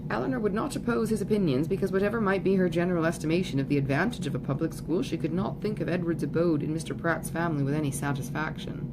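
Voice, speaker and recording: A woman's voice: on the low side, fast talker, hardly any pauses. A woman reading literary fiction aloud as scripted audiobook narration. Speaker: audiobook reader. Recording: clearly noisy recording, background ambience.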